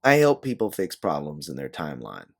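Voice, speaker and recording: A man reads as a blunt fugitive woman in a sci-fi audio story. She is sarcastic and bitter, with a low register and big swings in pitch. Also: huge pitch swings, a little fast, creaky, exaggerated voice. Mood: weary, explaining. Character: sarcastic, embittered fugitive woman time traveler.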